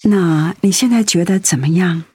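A woman's voice: on the low side, normal speed, a little mellow, slightly rough, dry recording. A woman playing a devoted, anxious middle-aged wife in a radio drama, earnest and full of concern. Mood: worried.

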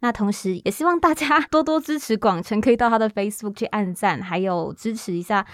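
A woman's voice: medium pitch, fairly quick, no room sound, studio quality. A woman from Taipei with a Taiwanese Mandarin accent, chatting effusively as a podcast host in a casual interview. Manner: chatty, effusive, self-deprecating.

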